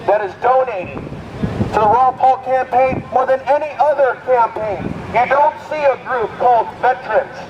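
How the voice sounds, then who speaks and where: A man's voice: very high-pitched, moderate pace. An American man giving an impassioned, emphatic political speech at an outdoor protest, declaiming through a megaphone. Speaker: protest speaker. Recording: muffled megaphone audio, clear outdoor street noise.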